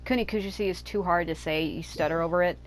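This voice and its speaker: A woman's voice: fairly low pitch, quick pace, poor audio. A woman hosting a gaming channel, talking casually over her gameplay with rambling, joking asides. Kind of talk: casual let's-play commentary. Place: hot room at home.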